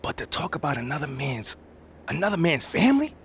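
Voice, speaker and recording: A man's voice: fairly high pitch, sing-song, a little fast. An actor playing an earnest young male basketball rookie in a video game, talking in an informal, self-assured, slangy way. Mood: calm, disappointed. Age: young adult. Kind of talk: video game cutscene acting, casual interview talk. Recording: clear background noise.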